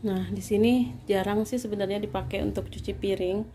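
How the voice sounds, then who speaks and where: Soft-spoken woman touring her home. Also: medium pitch, fairly even intonation, a little fast, slight background noise. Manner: chatty, addresses viewers as friends. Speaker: home vlogger giving a house tour. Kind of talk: casual house tour narration. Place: inside a home.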